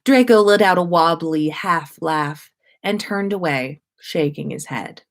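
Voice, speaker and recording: A woman's voice: sits low, a little slow. A woman reading fiction aloud as a storyteller, narrating for listeners. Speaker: host reading listeners' stories on a livestream.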